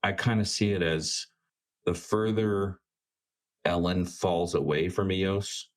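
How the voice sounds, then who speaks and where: Deep-voiced man reasoning aloud. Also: steady tone, slow. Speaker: tabletop role-playing game player. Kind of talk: casual discussion of game characters. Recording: soft music behind the voice. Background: music.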